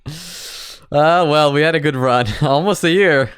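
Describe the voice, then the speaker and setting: A man's voice: fairly high pitch, slow pace, fairly bright tone, smooth, no room sound, clean studio sound. A man giving casual, informal commentary as host of a reaction video. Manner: laughs often, informal.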